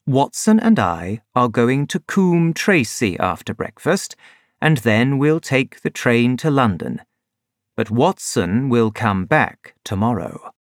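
A reader voicing a composed male detective who explains and gives orders in measured tones. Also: medium pitch, dry recording, studio quality. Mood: calm. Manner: calm, measured, authoritative. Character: calm, commanding male detective.

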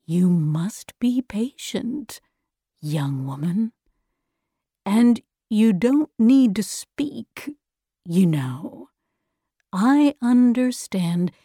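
Woman playing a slow, whispering, sinister man. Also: medium pitch, big pitch swings, warm tone, no room sound, clean studio sound, exaggerated voice. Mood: menacing calm. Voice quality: breathy, whispering. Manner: slow, drawn-out, taunting. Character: sinister, patient, whispering man with red eyes, mind-controlling.

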